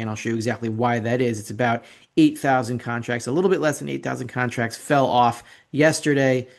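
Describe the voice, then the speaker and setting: A man hosting an investing video report, talking through charts in a casual, opinionated, rambling way with sarcastic asides. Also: medium pitch. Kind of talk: casual market commentary with slides.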